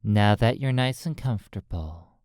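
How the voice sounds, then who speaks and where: A hypnotist speaking in a soothing, deliberate way, pausing between short phrases as in a guided sleep roleplay, close to the microphone. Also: medium pitch, animated, a little mellow, no room sound. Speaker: hypnotist and recording host.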